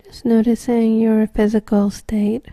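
Very mellow, slow woman guiding meditation. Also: a little high, level tone, no echo. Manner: gentle, unhurried instruction with short phrases and long pauses. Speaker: meditation guide. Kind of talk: guided body scan meditation.

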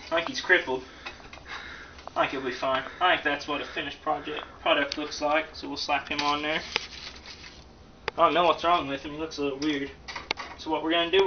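A man's voice: on the high side, steady tone, a little fast, long pauses. A man giving a casual, joking cooking demonstration in a folksy, slangy, rambling way, recorded in a home kitchen. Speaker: host of amateur comedic cooking show. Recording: distant, dull lo-fi, loud background noise.

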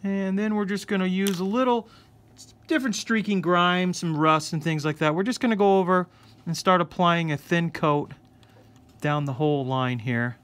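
A man hosting a casual scale-model painting tutorial, explaining each step in a relaxed way. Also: sits high, normal speed. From United States. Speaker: hobby shop host. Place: hobby workbench indoors.

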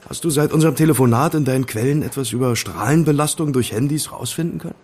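A man's voice: mid-range voice, fast, a little mellow, close to an everyday voice. An actor playing an earnest, awkward, curious young amateur investigator in an audio drama, hesitant in his speech. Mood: rueful, earnest.

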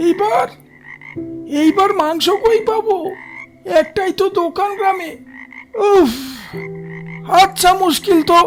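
An actor voicing an excitable village boy in an animated moral story. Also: extremely high pitch, a little slow, long pauses. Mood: worried.

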